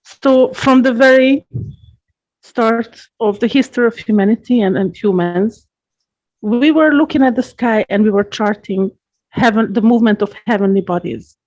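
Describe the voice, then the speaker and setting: A woman from Hungary, a guest astrologer explaining her craft in an earnest, measured way. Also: on the high side. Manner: earnest, measured, gently repeats words. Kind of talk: interview answers, explaining at length. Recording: dull lo-fi podcast audio.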